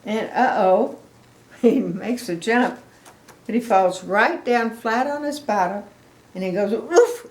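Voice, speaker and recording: A woman's voice: medium pitch, takes it slowly. An older woman reading a picture book aloud to children, explaining things in simple phrases with little asides. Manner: simple, explanatory phrases addressed to young listeners. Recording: echoey room.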